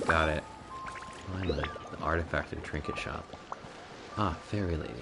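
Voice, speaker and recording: A man's voice: very deep voice, steady tone, moderate pace. A man giving casual, sweary reactions as he streams a game. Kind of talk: casual live-stream commentary over a game. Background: game music.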